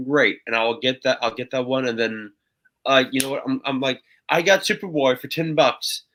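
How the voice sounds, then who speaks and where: A man with a nasal voice, co-hosting a casual talk show and chatting in an animated way, with frequent stammered restarts and swearing. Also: medium pitch, normal speed, a little hiss or hum. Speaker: podcast co-host. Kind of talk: casual chat.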